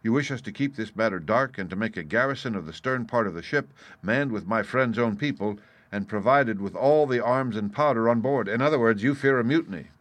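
A man voicing a composed, shrewd gentleman doctor in an audiobook, measured and courteous in manner. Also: medium pitch, no room sound, natural voice. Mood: shrewd, probing. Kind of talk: audiobook character voice.

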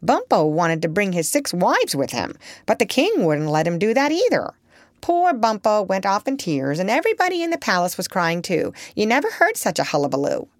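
A woman voicing an elderly female parrot who chatters on with excitable gossip. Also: medium pitch, moderate pace, no echo, clean studio sound. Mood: chatty. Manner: excitable, talkative, breathless gossip.

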